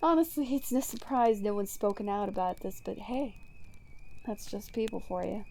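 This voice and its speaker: A woman's voice: medium pitch, normal speed, creaky, darkish tone. A woman playing a smug, sarcastic female supervillain in an ASMR roleplay, drawling and teasing as she taunts a hero. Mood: exasperated, bitter. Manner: teasing, drawling, sardonic, casually profane. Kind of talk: ASMR roleplay audio drama monologue.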